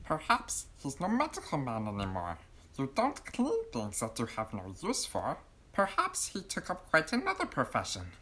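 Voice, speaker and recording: An actress playing a knowing, matter-of-fact forensic examiner with a husky voice in a rhyming comic audio drama. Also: female voice, a bass voice, wildly swooping pitch, moderate pace, fairly bright tone, heavily acted. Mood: speculating.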